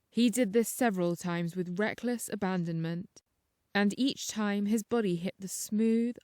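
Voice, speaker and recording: Woman, audiobook narrator, scripted first-person storytelling, medium pitch, dry studio-like sound. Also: darkish tone, dry recording.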